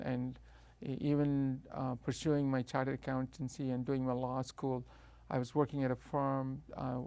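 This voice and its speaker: A middle-aged man from South India with a South Indian accent, telling his life story as an interview guest, pausing often on fillers and restarts. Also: mid-range voice, vocal fry, a little mellow, no echo. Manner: hesitant, many uh fillers and restarts.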